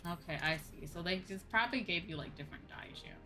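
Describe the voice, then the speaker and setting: Bright-voiced woman chatting on a game stream. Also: on the low side, quick pace, soft background music. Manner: excitable, exclaiming. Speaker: livestreamer. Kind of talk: casual game livestream chat.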